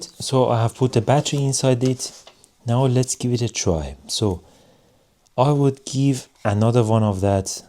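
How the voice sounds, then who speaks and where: A man giving a casual hands-on product review in measured, non-native English phrasing. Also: mid-range voice, dark tone. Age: adult.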